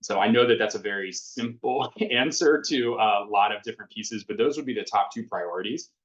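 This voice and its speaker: Brief: male principal, low voice, earnest and reassuring, dull video-call sound. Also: a little fast. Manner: earnest, reassuring, filler-heavy. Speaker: school principal. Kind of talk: answering questions at a virtual town hall.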